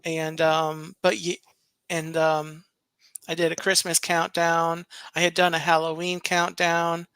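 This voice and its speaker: Smooth, slow-talking man on a stream. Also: on the high side, completely flat delivery, no echo. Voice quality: smooth, soft-spoken. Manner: unhurried, rambling with fillers. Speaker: co-host, stream guest. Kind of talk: casual live-stream chat.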